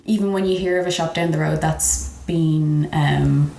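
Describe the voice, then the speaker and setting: A young Irish woman with a slightly low voice chats through anecdotes in a casual, conversational way. She acts out the people she quotes. The room is echoey, with faint noise behind her. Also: steady tone, moderate pace, vocal fry, warm tone. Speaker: comedian guest telling retail stories. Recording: roomy echo, faint background noise.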